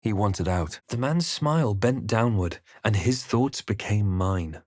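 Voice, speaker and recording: Brooding fantasy adventurer, lowish voice, measured dramatic delivery, video game lines, close dry recording. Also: male voice, lively intonation, a little mellow, no room sound. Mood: grave, narrating. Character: brooding male fantasy adventurer hero, grave and watchful. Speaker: video game player character voice actor. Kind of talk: scripted game narration and character lines.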